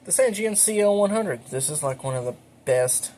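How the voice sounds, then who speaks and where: Halting man presenting, faint background noise. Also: high-pitched, animated, darkish tone. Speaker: hobbyist video creator and host. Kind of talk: casual show-and-tell narration of a radio collection. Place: indoors at home.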